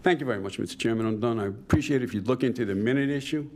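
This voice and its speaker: A man giving critical public comment at a college board meeting, pointed and measured. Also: medium pitch, slight background noise.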